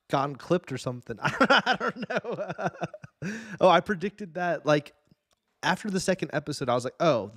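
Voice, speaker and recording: A man with a mid-pitched voice hosts a casual podcast chat in a very dry recording. He talks informally, like someone swapping opinions with friends. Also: fairly bright tone, no echo. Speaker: podcast host.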